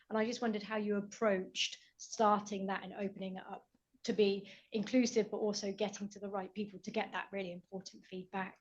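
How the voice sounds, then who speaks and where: A woman's voice: mid-range voice, darkish tone. A woman in the audience asking the panel a thoughtful, slightly hesitant question. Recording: slightly dull conference audio.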